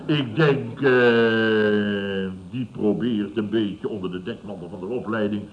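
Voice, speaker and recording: Blustering older boss, muffled noisy recording. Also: male voice, fairly low pitch, steady tone, slow. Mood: smug, amused. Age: 50s. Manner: blustering, chuckling, repeats himself. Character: gruff, self-satisfied older male building boss telling tales. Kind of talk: 1970s Dutch radio comedy acting.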